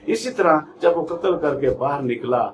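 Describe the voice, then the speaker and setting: A man's voice: on the high side, expressive, moderate pace, slight background noise. A man with a Pakistani accent giving an explanatory law lecture, mixing Urdu and English in a didactic, word-by-word way. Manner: didactic, reads definitions out word by word then explains.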